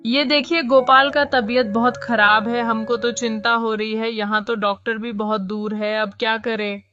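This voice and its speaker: A woman's voice: a little high, steady tone, normal speed, a bit bright, natural voice. A woman voicing a fretful, nagging village wife and mother in a cartoon. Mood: worried. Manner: nagging, anxious. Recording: slightly muffled, music behind.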